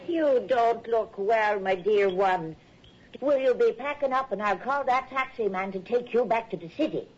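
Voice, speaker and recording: Husky, motherly small-town landlady. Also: a little high, normal speed, a little mellow. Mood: coaxing. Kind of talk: radio drama acting. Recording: dull radio drama recording.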